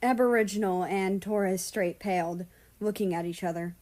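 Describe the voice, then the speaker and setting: A woman's voice: mid-range voice, moderate pace, darkish tone. A woman narrating fan fiction from a script as a story reader.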